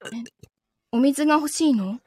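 A woman acting out a wronged girlfriend in an audio drama, high-voiced and possessive. She interrogates with cool, soft menace and keeps a calm, taunting control. The sound is close and dry. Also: normal speed, dry recording, natural voice. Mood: sweetly menacing. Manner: calm interrogating, softly taunting, sometimes whispering. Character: possessive, coolly menacing wronged girlfriend holding her lover captive.

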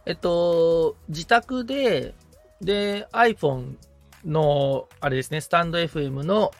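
A man with a fairly high, creaky voice, speaking casually as a podcast assistant. He answers questions in an informal interview, with light music behind him. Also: slow pace. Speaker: podcast assistant and guitar repairman.

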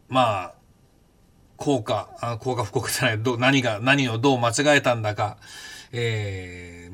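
Brief: man, 50s, podcast host, lively and blunt in casual memoir talk, mid-range voice. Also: mid-range voice. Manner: animated, blunt storytelling with rough casual speech. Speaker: podcast host recounting his past.

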